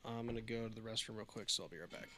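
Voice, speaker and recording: A man acting as game master, briskly asking each player for their roll. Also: lowish voice, steady tone, sharp tone, a little hiss or hum. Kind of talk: running a tabletop game.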